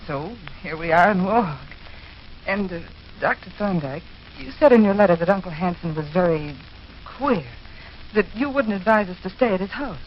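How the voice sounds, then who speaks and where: A woman's voice: fairly low pitch, animated, moderate pace, natural voice. An actress playing an earnest, anxious young married woman in 1940s radio drama style. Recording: hissy, muffled 1940s radio recording.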